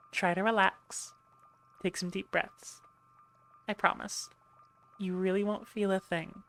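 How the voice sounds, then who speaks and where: A woman acts out a female medic on an alien warship in an ASMR roleplay. Her voice sits a little low with plenty of creak, and she talks in a chatty, playful way, teasing and reassuring her patient. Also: fairly bright tone. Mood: calm reassuring. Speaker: audio roleplay voice actor. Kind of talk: ASMR roleplay, one-sided conversation.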